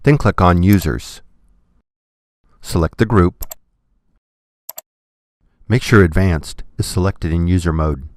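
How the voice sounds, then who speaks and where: A man giving scripted, step-by-step software tutorial narration in a methodical, instructional manner. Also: low voice, slow pace, pauses often, no echo, clean studio sound.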